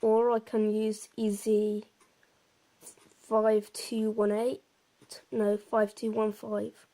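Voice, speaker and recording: A woman's voice: mid-range voice, monotone, takes it slowly, warm tone. A woman giving a casual gameplay commentary, explaining things in an informal, meandering and slightly hesitant way. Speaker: presenter of a game walkthrough.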